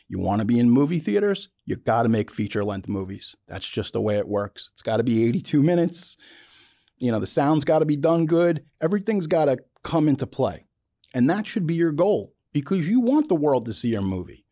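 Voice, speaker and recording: A man delivers an off-the-cuff, opinionated monologue as a podcast host. His voice is mellow and sits at an average pitch, but it moves around a lot as he drives his points home. The phrasing is blunt and colloquial, and the sound is dry and close. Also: no room sound. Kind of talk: opinionated casual monologue about filmmaking.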